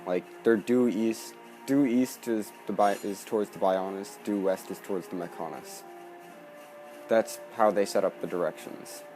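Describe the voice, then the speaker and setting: Low-voiced man doing casual game commentary. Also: fairly even intonation, moderate pace, rough recording. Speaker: let's play commentator. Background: faint game music.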